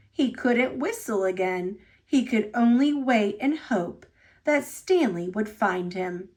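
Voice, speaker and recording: Woman reading a children's story with lively pitch. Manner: dramatic, deliberate phrasing for young listeners. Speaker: storybook reader. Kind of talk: expressive children's read-aloud narration.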